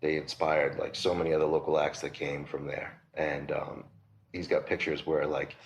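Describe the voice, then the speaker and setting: A man with a Boston accent, a record store owner chatting in a relaxed, thoughtful way as the guest of an interview. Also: extremely low pitch, normal speed. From Massachusetts, USA. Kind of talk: casual interview chat.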